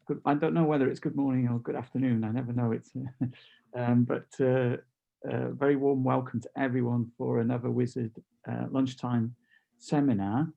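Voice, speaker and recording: A middle-aged man hosting an online seminar from a home study over a video call, relaxed and chatty as he introduces the speaker. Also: mid-range voice, moderate pace, gravelly, phone-quality sound. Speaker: seminar host.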